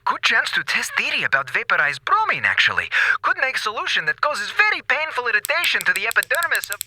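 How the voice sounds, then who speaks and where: A man's voice: extremely high pitch, huge pitch swings, fairly quick, sharp tone, exaggerated voice, low-quality recording. An actor playing an eccentric male space-station doctor who speaks clipped, broken English and is cheerfully clinical about harm. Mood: gleefully clinical. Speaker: ship's doctor. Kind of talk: audio drama acting.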